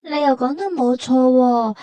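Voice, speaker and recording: A woman's voice: high voice, a little slow, dark tone, no room sound, low-quality recording. A woman with a Hong Kong Cantonese accent hosting a travel vlog in a conversational, explanatory way, as scripted dialogue and narration.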